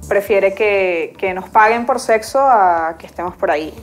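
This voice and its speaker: A woman's voice: mid-range voice, unhurried, faint music behind. A young woman from Caracas with a Venezuelan accent, chatting easily as a guest on a sofa in a small studio set and laughing often. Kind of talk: casual interview chat.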